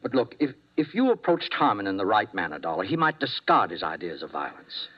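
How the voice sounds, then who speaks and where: An actor playing a nervous, fussy doctor in 1950s radio drama, speaking hesitantly and formally. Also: male voice, on the low side, sing-song, brisk, slightly rough. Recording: muffled old radio broadcast.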